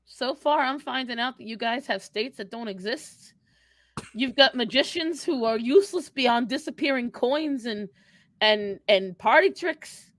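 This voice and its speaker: Woman playing a brash, sassy spellcaster with a higher voice. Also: lively intonation, unhurried, a bit bright, no room sound. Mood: dry musing. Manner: cocky, mocking, teasing, profane. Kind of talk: improvised in-character Q&A banter.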